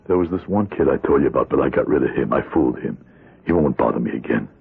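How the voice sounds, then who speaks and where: An actor playing a weary, pleading older ex-convict in a vintage radio drama, with a husky voice. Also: male voice, extremely low pitch, lively intonation, fast talker, heavily acted. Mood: bitter, despairing. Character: weary gray-haired ex-convict, broken and pleading. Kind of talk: 1970s radio drama acting. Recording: tinny, band-limited old radio broadcast.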